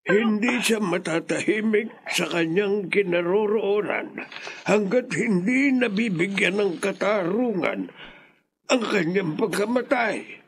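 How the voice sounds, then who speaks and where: An actor playing a mysterious old male stranger in a radio horror drama, speaking gravely and solemnly with a husky voice. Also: sits high, animated, slow, exaggerated voice. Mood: ominous.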